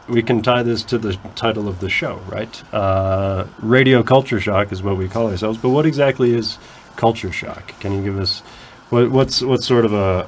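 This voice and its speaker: A man's voice: medium pitch, a little hiss or hum. A man who hosts a campus radio talk show, explaining at length and often restarting his sentences. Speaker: faculty radio host.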